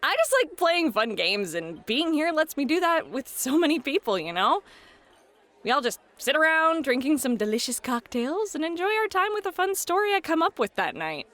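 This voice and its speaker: A woman's voice: high voice, normal speed. A woman playing a playful, teasing fairy hostess and game master in a flirty ASMR roleplay, talking straight to the listener. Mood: excited, proud. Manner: flirty, teasing, excitable, addresses listener directly.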